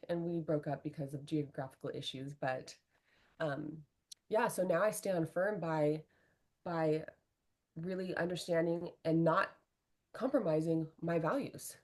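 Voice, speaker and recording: A middle-aged woman, a relationship coach, speaking earnestly and candidly in an interview and often tagging her points with "right?". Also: deep voice. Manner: earnest, animated, fillers like "right?".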